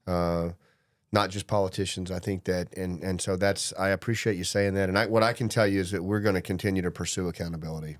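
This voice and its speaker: A man's voice: deep voice, steady tone, no room sound, clean studio sound. A man hosting a podcast, speaking firmly and measuredly, often restarting his sentences. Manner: firm, measured, with repeated restarts. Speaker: podcast host. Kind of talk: interview conversation.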